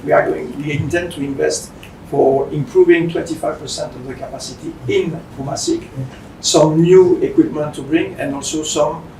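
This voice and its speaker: A Belgian man with a French accent speaks haltingly in a semi-formal address. His slightly high voice has a gravelly creak, and it is picked up in a roomy space. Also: steady tone, warm tone. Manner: halting, hesitant non-fluent English. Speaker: company managing director. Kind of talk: semi-formal presentation speech. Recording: roomy, distant mic with faint rumbling noise.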